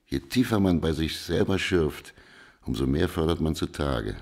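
An actor playing a blunt, unsentimental middle-aged private detective in a radio drama, brusque and matter-of-fact. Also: male voice, a bass voice, animated, moderate pace, darkish tone, heavily acted. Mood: firm, dryly reassuring.

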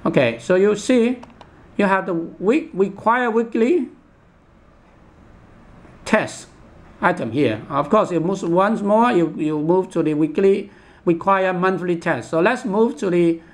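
A man giving an unscripted step-by-step product demonstration in a halting, repetitive instructional manner. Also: high-pitched. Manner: halting, repetitive, instructional, with imperfect English grammar.

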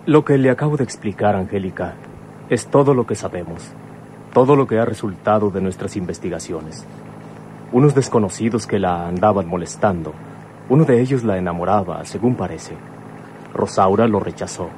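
An actor playing a commissioner's grown son in a radionovela, sober and courteous. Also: male voice, on the low side, normal speed, a little mellow, close to an everyday voice. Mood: explaining, defensive. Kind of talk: radionovela acting. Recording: faint vehicle noise behind.

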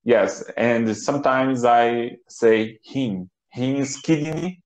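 A Brazilian man in his thirties, a native Portuguese speaker, talks through an online English lesson in halting English with many restarts. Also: mid-range voice. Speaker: English student. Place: home office with bookshelves. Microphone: laptop webcam mic on a video call. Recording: roomy, dull video-call audio.

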